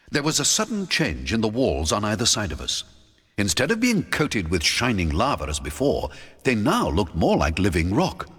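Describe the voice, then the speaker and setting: A man narrating a scripted first-person adventure audiobook in a dramatic, measured storytelling manner. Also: on the low side, a bit bright, slightly rough. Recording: long hall-like reverb.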